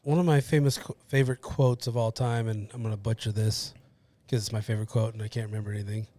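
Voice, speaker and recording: A man's voice: lowish voice, steady tone, quick pace, warm tone. A man co-hosting a firearms podcast in casual chat, joking and cracking wise between stories. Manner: joking, wisecracking. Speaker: podcast co-host, firearms instructor.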